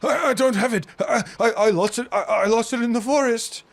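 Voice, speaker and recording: A man's voice: extremely high pitch, fairly quick, pitched above the performer's own voice. A man voicing a wounded, frantic captive who stammers as he pleads in a tabletop game. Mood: panicked. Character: wounded, panicked man pleading with his captors.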